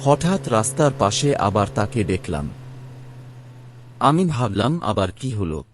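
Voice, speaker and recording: A man telling a first-person horror story in a hushed, dramatic way. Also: on the low side, sing-song, measured pace, background noise. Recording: spooky music bed under narration.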